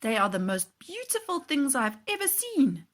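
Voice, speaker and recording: A woman acts out a curious young boy from a storybook, pitched well up and swooping with excitement. He is polite, earnest and full of wonder. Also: normal speed. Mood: polite, admiring. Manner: wide-eyed, earnest, polite.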